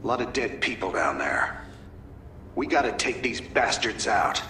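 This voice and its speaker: A man's voice: on the low side, sing-song, measured pace, noisy background. A man voicing a weary, sardonic human soldier in a video game, with terse, folksy delivery. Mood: grim, wry. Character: gritty human rebel commander, wry weary soldier. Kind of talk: video game cutscene voice acting. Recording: game audio, echo, loud music behind.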